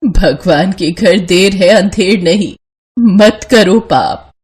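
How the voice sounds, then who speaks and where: A woman's voice: lowish voice, slow, darkish tone, slightly rough, exaggerated voice. A woman voicing a kind, suffering old grandmother in a story, tender and pleading. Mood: bitter, grieving.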